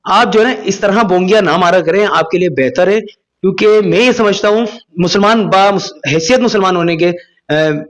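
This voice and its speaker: Guy ranting back at a video, high pitched, annoyed and preachy. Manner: indignant, scolding. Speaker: reaction video host. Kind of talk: critical reaction commentary.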